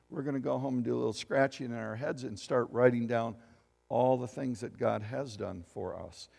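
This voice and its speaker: A man's voice: mid-range voice, gravelly, darkish tone, big-room sound. A man in his seventies preaching a sermon as pastor, reading scripture aloud and asking the congregation questions. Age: 70s. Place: church sanctuary.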